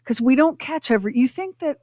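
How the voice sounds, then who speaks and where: A woman co-hosting a radio show, talking casually and explaining things in emphatic, deliberate phrases. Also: high voice, animated, a little mellow. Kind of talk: casual conversational podcast talk.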